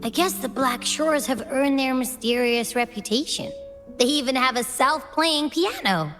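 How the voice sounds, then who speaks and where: A woman voicing a lively, casual young girl companion in a video game. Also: sits high, unhurried, faint music behind. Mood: curious, playful. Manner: casual, excitable.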